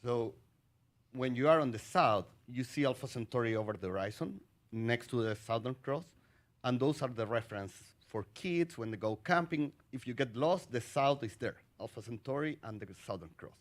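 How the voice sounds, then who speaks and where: A middle-aged man from Chile, an astronomer on a panel, talking in a halting way with many fillers and restarts. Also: medium pitch, lively intonation. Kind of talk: conversational panel talk.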